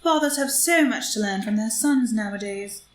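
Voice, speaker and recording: A woman's voice: mid-range voice, lively intonation, normal speed, slight background noise, natural voice. A woman playing a worldly, cynical society lady, cool and poised with epigrams, in a live reading of a period comedy. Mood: dry, witty. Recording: roomy, distant microphone.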